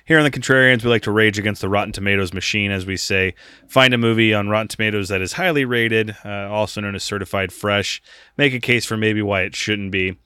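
A man's voice: lowish voice, a little fast, bright, forceful tone, clear, resonant tone, no echo, studio quality. A man co-hosting a podcast, chatting casually and jokingly, rambling with frequent laughs. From United States. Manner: rambling, jokey, laughs often. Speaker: podcast co-host.

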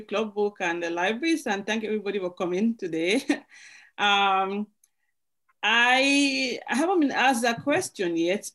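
Woman novelist from Cameroon, reflective, halting restarts, video call. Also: medium pitch, fairly bright tone. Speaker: author. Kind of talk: author interview.

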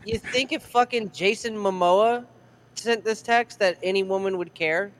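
A man's voice: high voice, moderate pace, a bit bright. A man co-hosting a podcast, cutting in with blunt, profane remarks. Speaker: podcast co-host. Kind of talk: crude comedic banter.

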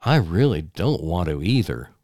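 A man voicing a tired young husband who speaks in flat, clipped, resigned lines. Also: low-pitched, normal speed, no room sound, studio quality, voice pushed down, exaggerated voice. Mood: weary.

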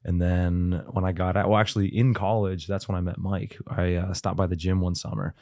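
A man in his early thirties, a boxing and striking coach, telling his story on a podcast in a calm, understated way. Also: sits low, a little fast, no echo, clean studio sound. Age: 30s. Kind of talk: casual podcast chat.

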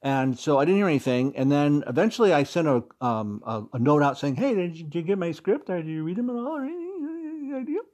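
A man's voice: on the high side, lively intonation, quick pace, few pauses, darkish tone. A middle-aged man with an American accent, chatting as an interview guest and telling anecdotes with emphatic stresses. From Fort Wayne, Indiana, United States. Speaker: interview guest, audio drama creator and stage actor.

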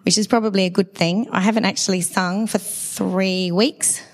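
A middle-aged woman with a dry, hoarse voice after laryngitis, giving a conversational sermon at a church service and asking the congregation questions. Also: mid-range voice, fairly even intonation, normal speed. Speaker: preacher.

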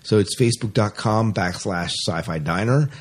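Low husky man, chatty podcast host. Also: normal speed. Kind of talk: casual sci-fi podcast chat.

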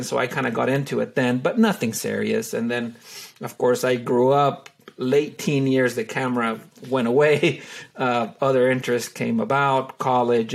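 Gravelly middle-aged man from Honduras. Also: mid-range voice. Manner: hesitant, many fillers and restarts. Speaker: wildlife photographer and tour guide. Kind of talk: relaxed interview answers.

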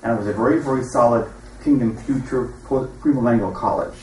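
A man's voice: mid-range voice, brisk, darkish tone. A man commenting from the audience, emphatic and repeating words for stress. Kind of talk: spoken comment with personal example. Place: room with an audience. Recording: distant, echoey, noisy room pickup.

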